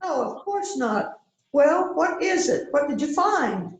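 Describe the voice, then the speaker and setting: Echoey room, woman playing composed housewife murder suspect, high voice, courteous, amateur mystery play. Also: sing-song, slow, heavily acted. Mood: polite, innocent. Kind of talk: amateur readers theater mystery acting.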